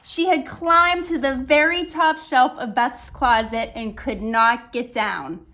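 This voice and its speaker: A woman reading a children's picture book aloud, phrasing deliberately with dramatic emphasis. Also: sits high, pushed and bright. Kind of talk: animated children's story read-aloud.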